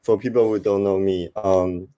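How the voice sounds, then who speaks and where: A man with a Taiwanese accent speaking informally on a panel, halting and hesitant, often repeating words. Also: sits low, steady tone, warm tone. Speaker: conference panelist, investor.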